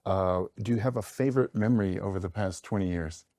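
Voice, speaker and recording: Low, mellow-voiced male talk show host. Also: a little fast, no echo, studio quality. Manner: enthusiastic, complimentary. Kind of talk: studio interview. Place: TV studio.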